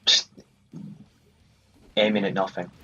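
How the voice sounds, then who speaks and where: A man's voice: sits low, little pitch movement, takes it slowly, sharp tone. A man giving casual, rambling let's play commentary on a video game. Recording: muffled sound, game music behind. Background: video game music.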